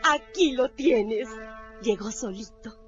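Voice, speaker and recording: An actress playing an excitable, affectionate farm aunt who fusses and exclaims in a radio drama. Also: female voice, a little high, slow pace, soft background music. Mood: fussing. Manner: fussing, exclamatory. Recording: dull lo-fi radio recording.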